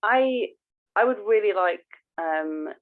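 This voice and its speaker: A woman co-hosting a casual podcast, halting and earnest as she thinks aloud. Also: mid-range voice, expressive. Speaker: podcast co-host. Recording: tinny, phone-like narrow band.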